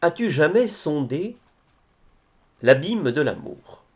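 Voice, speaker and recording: A man reciting devotional poetry in a solemn style, with measured, expressive phrasing and pauses. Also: on the high side.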